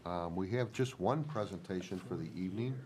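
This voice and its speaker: A man's voice: low voice, brisk, a little mellow. An older American man chairing a village board meeting, switching between procedure and casual remarks, nasal from a head cold. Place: municipal board meeting hall. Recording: noisy public meeting room microphone.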